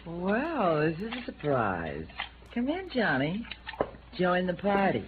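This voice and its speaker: On a tinny vintage radio recording, a flirtatious noir widow. Her pitch swoops up and down as she teases and needles, languid, brazen and a little tipsy. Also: huge pitch swings, slow, very noisy, exaggerated voice. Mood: flirty, brazen. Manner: languid, teasing, provocative. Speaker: supporting actress in a radio drama. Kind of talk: 1950s radio noir acting.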